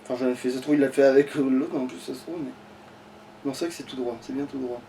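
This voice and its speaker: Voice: mid-range voice, fairly even intonation, fast talker, dark tone, a little hiss or hum. A gamer giving casual, sweary, grumbling commentary while playing. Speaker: let's play streamer. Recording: distant mic with game music behind. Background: video game music.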